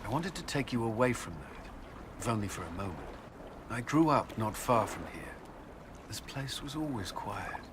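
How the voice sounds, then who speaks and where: A guarded, earnest military commander in a fantasy game, played by a male actor. His voice is low and soft-spoken, with formal, halting phrasing. Clear outdoor ambience sits behind him. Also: expressive, noisy background, natural voice. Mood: tender, nostalgic. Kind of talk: video game drama acting.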